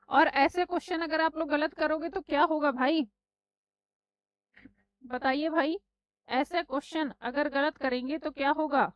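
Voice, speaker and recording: A woman teaching a live online exam class, slightly hoarse from a sore throat, scolding her students and calling them beta and bhai. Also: high-pitched, no echo. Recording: dry, slightly dull online class audio.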